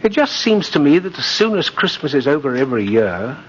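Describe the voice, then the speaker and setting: An actor playing a kindly, twinkling old gentleman who believes he is Santa, in a 1950s radio drama. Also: fairly high pitch, big pitch swings, fairly quick. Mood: gently coaxing. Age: older. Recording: dull, noisy vintage radio recording.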